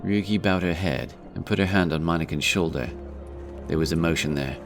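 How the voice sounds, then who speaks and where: A man giving scripted narration of a fantasy story in a measured, serious manner. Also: deep voice, soft background music.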